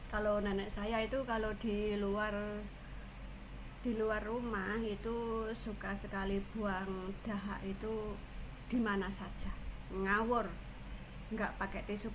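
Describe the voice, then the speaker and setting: A woman from Indonesia with a Javanese Indonesian accent, a caregiver teaching phrases in a casual lesson, saying each one slowly twice. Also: mid-range voice. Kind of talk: casual language lesson vlog. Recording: noisy ambience.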